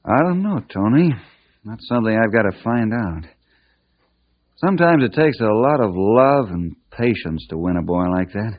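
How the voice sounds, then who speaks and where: A man's voice: on the low side, lively intonation, normal speed. A man playing a patient, upright mentor to boys, earnest and reassuring, with an American accent, in an old-time radio drama. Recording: muffled old radio recording.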